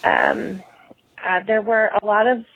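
A woman speaks casually into a telephone, leaving a listener's voicemail. The sound is thin and band-limited like a phone line. She gives her opinions hesitantly, pausing often as she goes. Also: mid-range voice, huge pitch swings, slight background noise. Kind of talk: casual spoken movie review.

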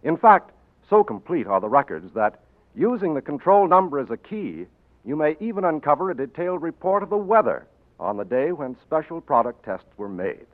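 A male announcer reading a sponsor message and sign-off in a measured, polished cadence. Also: fairly high pitch, very animated. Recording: tinny 1940s radio broadcast, faint music.

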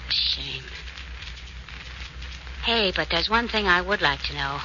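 An actress playing a detective's bantering, curious companion in 1940s radio drama style, teasing and inquisitive. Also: female voice, fairly low pitch, expressive, slow, sharp tone, heavily acted. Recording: muffled, noisy old radio recording.

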